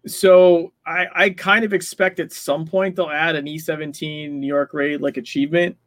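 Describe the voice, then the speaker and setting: A man's voice: on the high side, steady tone, normal speed, fairly bright tone, dry recording. A man talking casually in a gaming discussion, explaining things with hedging asides.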